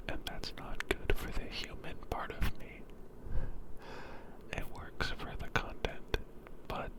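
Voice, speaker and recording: An ASMR creator at home, whispering softly in a meandering ramble, with a sore throat and a sniffly nose, into a handheld field recorder. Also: poor audio. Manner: soft, meandering, unhurried whisper. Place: home, indoors.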